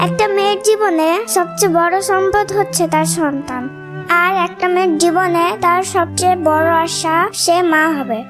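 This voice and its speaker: Haughty young second wife for cartoon melodrama played by a woman: spiteful, domineering, extremely high, childlike pitch, music underneath. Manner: scolding, domineering.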